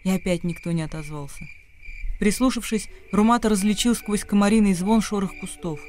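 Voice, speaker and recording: A man's voice: sits high, brisk, a little mellow, slight background noise. A man delivering dramatic scripted narration as the narrator of a radio play.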